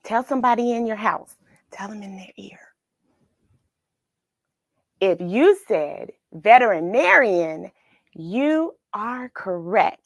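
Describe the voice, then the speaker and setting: A woman's voice: medium pitch, animated, takes it slowly, pauses often, no room sound, clean studio sound. An American woman hosting a read-along for children, chatting with viewers, asking them questions and encouraging them. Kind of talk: chatty livestream greetings and story reading for children.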